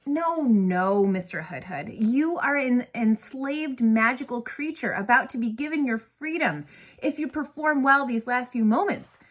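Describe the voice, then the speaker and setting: A woman voicing a stern, exacting female schoolteacher in a storybook, with crisp, commanding, drawn-out emphasis. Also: a little high, expressive, pitched above the performer's own voice. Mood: exacting, dramatic.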